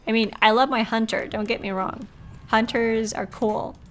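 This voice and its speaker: A woman's voice: a little high, fairly even intonation, moderate pace, a bit bright, a little hiss or hum. A woman hosting a live game stream, talking casually and expressively and reading bits aloud in a chatty, giggly way.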